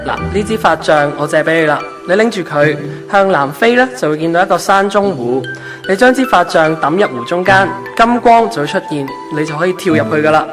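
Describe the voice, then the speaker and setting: A man's voice: high-pitched, lively intonation, fairly quick. A man playing a kindly, easygoing ancient saint of love in a Hong Kong Cantonese radio drama. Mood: breezy, reassuring. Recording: background music under the voice.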